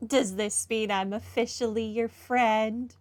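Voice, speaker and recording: A woman's voice: a little high, steady tone, a little slow. A woman hosting a game playthrough, reading dialogue and commenting in a casual way. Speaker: gaming video host. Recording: game music behind voice.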